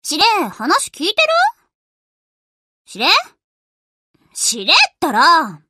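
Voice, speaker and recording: Spirited teenage destroyer girl, anime game, assertive, very animated delivery, dry close sound. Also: high voice, slow pace, dry recording, heavily acted. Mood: annoyed. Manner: perky, assertive, slightly bossy. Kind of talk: Japanese anime game voice acting.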